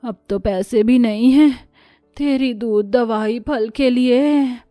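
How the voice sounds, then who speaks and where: A woman's voice: a little high, slow pace, mellow, no echo, faint music behind. A woman voicing a loving, plaintive elderly mother-in-law in a cartoon, with a fretful, motherly manner. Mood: weary, worried.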